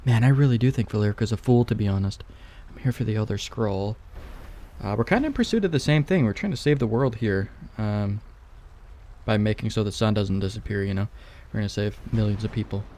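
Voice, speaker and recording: Low-voiced young man, casual gaming commentary. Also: a little fast, dark tone. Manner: rambling, laid-back, profane. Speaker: gaming YouTuber, let's play host. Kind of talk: casual let's play commentary.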